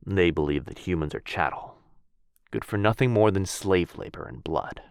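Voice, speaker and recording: A man voicing a gentle, courtly male vampire lord in an ASMR boyfriend roleplay. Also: low voice, moderate pace, clear, resonant tone, no room sound, studio quality. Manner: soft-spoken, courtly and reassuring. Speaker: voice actor.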